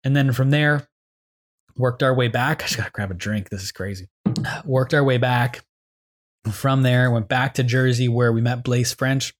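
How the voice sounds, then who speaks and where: Young man from Canada, podcast host, rambling eager storyteller, mid-pitched voice. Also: steady tone, moderate pace. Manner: rambling, enthusiastic storytelling with many fillers. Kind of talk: casual reflective monologue.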